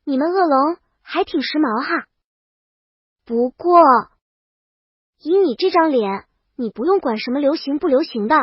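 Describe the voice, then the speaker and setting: A woman's voice: sits high, animated, a little slow, pauses often, no room sound, muffled recording. A woman voicing a brash, boastful young princess in an audiobook, bold and casual. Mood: breezy. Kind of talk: audiobook character dialogue.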